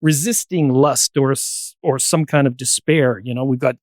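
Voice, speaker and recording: A man's voice: medium pitch, steady tone, moderate pace, no echo, studio quality. A man speaking as a panel guest, explaining ideas in a discursive, professorial way with examples. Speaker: podcast guest.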